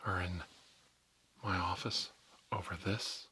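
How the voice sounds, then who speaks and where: A man playing a stern, pompous school principal in a whispered ASMR role play, scolding pupils in halting, drawn-out phrases. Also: deep voice, level tone, sharp tone, a little hiss or hum. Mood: stern, accusing. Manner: pompous, halting, drawn-out, repeats words for emphasis.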